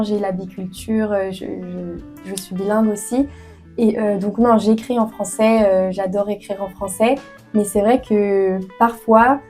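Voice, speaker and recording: A woman's voice: mid-range voice, darkish tone. A young woman in her twenties from France, answering interview questions earnestly with many hesitations and fillers. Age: 20s. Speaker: author guest.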